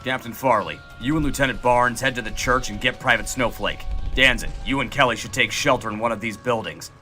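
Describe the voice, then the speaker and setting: A man's voice: medium pitch, fairly quick, pushed and bright, soft background music, close to an everyday voice. A man playing a stoic, fatherly snowman army major in an audio comedy, with a commanding military manner. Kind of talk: audio comedy drama war acting.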